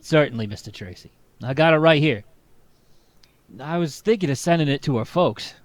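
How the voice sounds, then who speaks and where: A man playing a worried, deferential circus boss in an old-time radio play, speaking in a measured, deliberate way. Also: on the high side, lively intonation, no echo, lightly acted. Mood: resigned.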